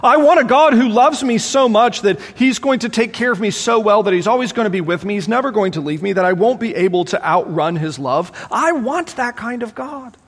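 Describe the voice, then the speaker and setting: A man preaching a sermon as pastor, animated and exclaiming, voicing his listeners' doubts aloud, in a large church sanctuary. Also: high-pitched, a little fast, few pauses. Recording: long hall reverb.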